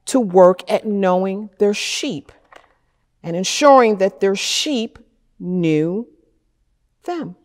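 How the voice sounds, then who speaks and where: A middle-aged woman pastor preaching a sermon from a church lectern, with slow, measured delivery and deliberate pauses. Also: medium pitch. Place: wood-paneled church chancel, at a lectern. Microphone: fixed camera at a distance. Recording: church with a long reverb tail.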